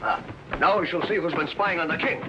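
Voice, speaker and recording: Man acting a high-pitched, bullying medieval castle guard. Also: brisk, heavy background noise, lightly acted. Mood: menacing. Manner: barking, threatening commands. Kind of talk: 1950s television adventure acting. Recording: old television soundtrack with music.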